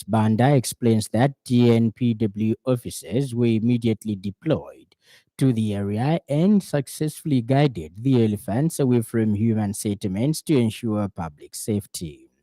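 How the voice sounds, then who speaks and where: A Zambian man reads a scripted news bulletin on the radio. His voice is low and mellow, with a formal, measured delivery. The sound is close and dry, like a studio booth. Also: no echo.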